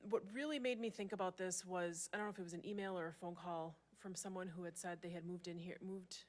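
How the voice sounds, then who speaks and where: An American woman speaking as a city council member, making informal, reflective, conversational remarks at a meeting. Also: lowish voice.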